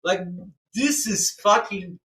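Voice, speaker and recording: A man's voice: high voice, lively intonation, takes it slowly, sharp tone, roomy sound. A man whose first language is Polish, co-hosting with crude, constant swearing in casual podcast banter.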